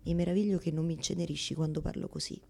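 An actress playing an anguished, fearful woman who argues with God in a halting, repetitive theatrical monologue. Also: deep voice, fairly even intonation, vocal fry, mellow. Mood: uneasy, confiding. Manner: halting repetitions, pleading, swings from murmurs to outcries.

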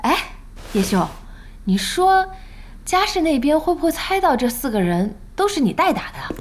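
High-pitched, spirited young cafe boss. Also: female voice, very animated, normal speed, slight background noise. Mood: incredulous. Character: spirited, bossy young female internet cafe owner. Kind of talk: Chinese audio drama acting.

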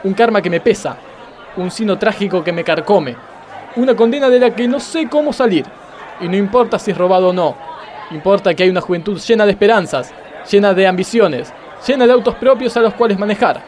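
High-pitched pompous Argentine judge, acted by a man. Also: fairly quick, a little mellow, lightly acted. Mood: melodramatic self-pity. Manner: pompous, melodramatic declaiming. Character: self-pitying, pompous, exasperated male judge. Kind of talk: comic radio play acting. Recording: crowd noise behind the voice.

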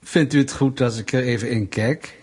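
Husky, smug, suspicious state interrogator. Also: male voice, mid-range voice, normal speed, a little mellow. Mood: sardonic. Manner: pedantic, sardonic, insistent questioning. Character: suspicious, smug Soviet state security interrogator, menacing and petty. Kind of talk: Dutch radio play acting.

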